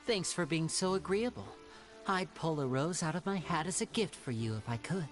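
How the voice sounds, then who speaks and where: A man's voice: a little high, animated, normal speed, close to an everyday voice. A man playing a suave teasing stage magician. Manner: smooth, courteous, teasing. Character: suave young male stage magician, theatrical and polite. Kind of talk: game cutscene voice acting. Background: game music.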